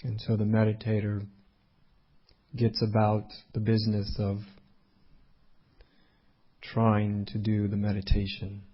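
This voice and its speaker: A man leading a guided meditation as a teacher, speaking unhurriedly in short phrases with long pauses. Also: fairly low pitch, monotone, slightly rough, muffled recording.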